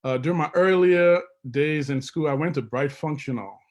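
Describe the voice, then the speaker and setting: A man's voice: fairly high pitch, moderate pace, slight background noise. A middle-aged man speaking as an interview guest, informal, often restarting and repeating his phrases. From Liberia. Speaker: guest, investment consultant. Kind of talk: casual interview chat.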